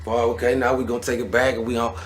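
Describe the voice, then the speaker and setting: Guy hosting an interview, talks it through out loud, lots of "all right", a little faint hiss behind. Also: mid-range voice, racing. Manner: animated, builds questions out loud, many "all right"s. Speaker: interviewer, show host. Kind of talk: casual interview chat.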